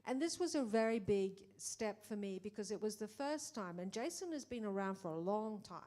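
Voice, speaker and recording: A middle-aged woman producer speaking in a conversation, animated, acting out quoted exchanges, with many restarts. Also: medium pitch, normal speed. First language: English. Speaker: film producer. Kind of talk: panel conversation.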